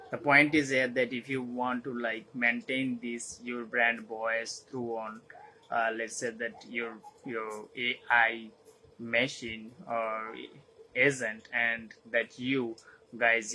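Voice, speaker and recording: A man who is not a native English speaker, giving an unscripted talk as host, halting and repeating phrases as he searches for words. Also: medium pitch, sharp tone, a little hiss or hum, low-quality recording. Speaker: host of a live session.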